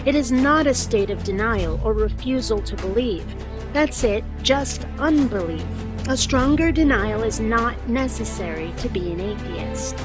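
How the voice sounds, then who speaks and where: A woman with a fairly high voice speaks directly to viewers in a scripted monologue. She explains her position firmly and deliberately, with music clearly audible behind her. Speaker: atheist video blogger.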